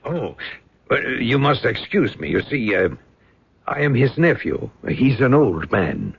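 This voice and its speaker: Gravelly, guarded German man. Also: mid-range voice, very animated, full character voice. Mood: smoothly persuasive. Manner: clipped, formal, deliberate questioning. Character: guarded, probing German man, formal and faintly sinister. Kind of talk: radio drama acting. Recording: dull, dry radio drama recording.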